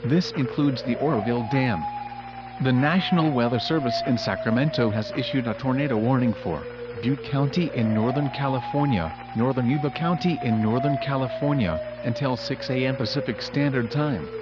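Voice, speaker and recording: A synthetic man's voice delivers formal weather alerts over the radio. The sound is dull and lo-fi, buried in heavy noise and hum. The delivery is measured and impersonal, at a medium pitch. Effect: weather radio broadcast. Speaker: automated weather radio announcer. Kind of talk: scripted emergency weather alert bulletin. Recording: muffled noisy weather radio broadcast, humming.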